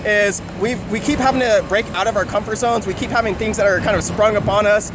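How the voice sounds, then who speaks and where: A man's voice: sits high, fairly even intonation, a little fast. A man hosting a vlog, talking eagerly into a handheld camera outdoors and interviewing a friend. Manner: upbeat, eager, repeats himself. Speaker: vlog host. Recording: loud outdoor traffic noise.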